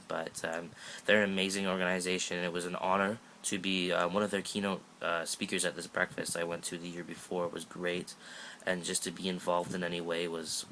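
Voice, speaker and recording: A sixteen-year-old Canadian guy rambles through a casual vlog update, joking and restarting his sentences. His voice sits low, with a bright, forceful edge. He's filming on a phone held at arm's length, with a faint hiss of background noise. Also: rough recording. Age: teens. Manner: rambling, chatty, jokey, many fillers and restarts. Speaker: vlogger.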